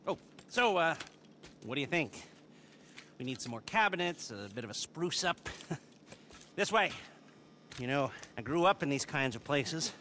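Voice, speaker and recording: An actor playing a grumpy, sarcastic middle-aged hacker who has retired from heists. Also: male voice, a little high, lively intonation, bright, forceful tone, a little hiss or hum. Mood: amused. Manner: whiny, sarcastic, quick to complain.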